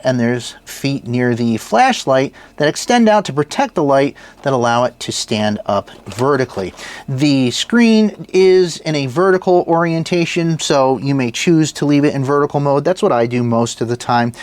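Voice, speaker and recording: A man's voice: mid-range voice, animated, moderate pace, dry recording. A man giving a casual gear review in a plain-spoken, folksy way, as the host talking to viewers. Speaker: product reviewer and channel host.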